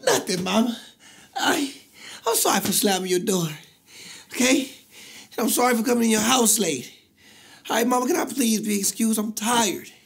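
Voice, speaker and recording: A man playing a jittery teenage boy who talks in fast, rambling slang. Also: sits high, lively intonation, creaky, husky, heavily acted. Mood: sheepish.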